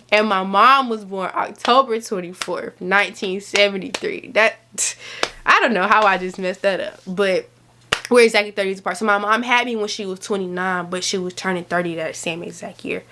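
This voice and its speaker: A woman's voice: on the high side, sharp tone. A woman in her early twenties talking straight to camera in a chatty, rambling, emphatic way, giving casual advice with plenty of slang. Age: early 20s. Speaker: vlogger. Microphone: camera mic.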